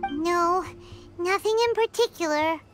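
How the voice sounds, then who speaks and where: A woman voicing a tiny, excitable, childlike fairy companion in a video game, squeaky and chatty, exclaiming and speaking of herself in the third person. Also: unhurried. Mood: watchful, puzzled. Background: music.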